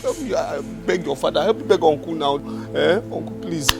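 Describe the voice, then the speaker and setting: A man's voice: a little high, lively intonation, moderate pace, gravelly, over music. A young man playing a remorseful husband and father who pleads over and over for his family's forgiveness. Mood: pleading. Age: young adult. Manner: pleading, repeating himself. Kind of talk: film drama acting.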